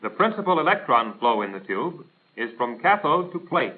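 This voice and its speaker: A man's voice: mid-range voice, big pitch swings, moderate pace. An American man reading a scripted instructional narration in a formal, precise, didactic manner, in the style of a 1940s military training film. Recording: tinny band-limited 1940s film soundtrack.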